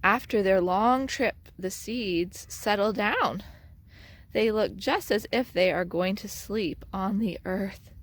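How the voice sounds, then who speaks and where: A woman reading a children's picture book aloud as a storyteller, in an expressive, deliberate way with dramatic emphasis. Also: medium pitch. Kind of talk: children's read-aloud narration.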